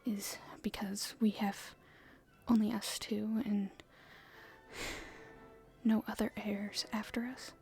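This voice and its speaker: A woman playing an earnest, hopeful young princess in a soft, halting, breathy whisper for an ASMR roleplay. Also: mid-range voice, little pitch movement, soft background music. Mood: thoughtful, hopeful. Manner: halting, soft-spoken, thinking aloud.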